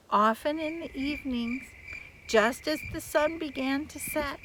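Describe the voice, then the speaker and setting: An older woman reading a children's story dramatically, with emphatic drawn-out words and chatty asides to her listeners. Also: high voice, background noise. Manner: theatrical, emphatic, drawn-out adjectives. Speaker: teacher reading stories to children.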